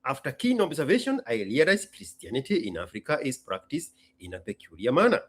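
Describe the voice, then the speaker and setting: A man from Kenya hosts a casual talk in a husky voice. His pitch moves widely as he makes his points in short, emphatic sentences, often repeating a phrase. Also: huge pitch swings, fairly quick. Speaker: host. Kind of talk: casual solo talk to an audience.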